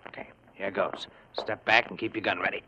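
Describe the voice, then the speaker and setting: A man's voice: fairly low pitch, little pitch movement, brisk, lo-fi sound, close to an everyday voice. An American man playing an eager, tough police detective in a 1950s radio drama, colloquial and gung-ho. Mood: eager, urgent. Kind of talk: 1950 radio drama acting. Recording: noisy, crackly old radio recording.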